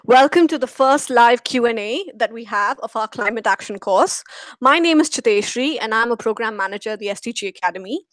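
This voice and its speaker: A young woman with an Indian accent, moderating a live online question-and-answer session, speaking in a measured way with small fillers. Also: a little high, dry recording. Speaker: moderator, program manager. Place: office.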